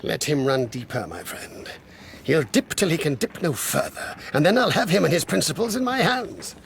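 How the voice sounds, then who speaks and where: A scheming moneylender in a period radio play, his high voice swooping and creaking. He mocks with theatrical emphasis, by turns menacing and oily. There is faint hiss on the recording. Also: very animated, moderate pace, a bit bright, exaggerated voice. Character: cunning, menacing male moneylender and coachmaker, oily when cornered. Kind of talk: period radio drama acting.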